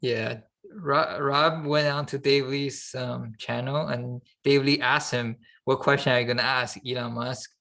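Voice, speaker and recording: Gravelly-voiced man chatting casually. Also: mid-range voice, fairly even intonation, unhurried, few pauses, pushed and bright. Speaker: podcast co-host. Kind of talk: casual unscripted podcast chat.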